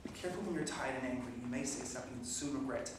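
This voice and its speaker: A man's voice: mid-range voice, racing, background noise, close to an everyday voice. A man playing a dry, sleepy young husband. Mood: wry. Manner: wry, deadpan, then exasperated. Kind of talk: classic film acting. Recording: echoey film soundtrack from a distance.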